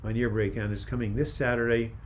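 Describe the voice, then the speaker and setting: An older man hosting a casual live chat, reading viewer comments in a calm, soft-spoken way with frequent restarts. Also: mid-range voice, fast, a little hiss or hum. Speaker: livestream host.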